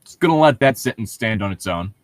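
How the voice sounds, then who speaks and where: A man's voice: fairly low pitch, animated, a little fast, no room sound. A man reading a novel aloud and mocking it with crude, sarcastic, irreverent commentary. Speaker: co-host of a comedic read-along.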